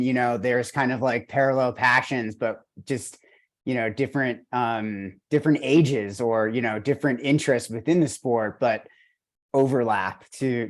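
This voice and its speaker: A man with an Argentinian accent, hosting a running coaching podcast and interviewing an athlete in an enthusiastic, rambling way with many fillers. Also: medium pitch. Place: video call.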